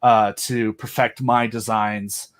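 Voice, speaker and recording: A man chatting as a guest on a video call, excitedly rambling as a fan about his monster designs. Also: fairly low pitch, slow pace. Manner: enthusiastic, rambling fan talk. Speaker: podcast host and audio drama creator. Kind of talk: casual video chat interview.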